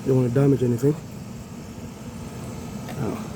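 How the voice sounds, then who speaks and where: A hoarse man who has lost his voice, casually explaining an air conditioner cleaning while he works outdoors. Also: mid-range voice, little pitch movement, unhurried, background noise. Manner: loose, repetitive, talks while working. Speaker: HVAC technician. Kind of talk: casual how-to demonstration.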